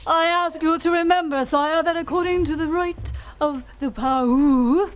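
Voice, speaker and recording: A solemn, scheming male high priest, played by a woman, intones rites in a formal manner for a community radio play. The voice is very high. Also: steady tone, measured pace. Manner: formal, ceremonious. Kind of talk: live amateur radio play.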